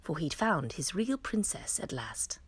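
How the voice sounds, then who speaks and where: A woman reading a fairy tale aloud as a storytime reader, in an expressive, dramatic manner. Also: deep voice, a little slow, in a whisper. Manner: dramatic storytelling with emphatic swells.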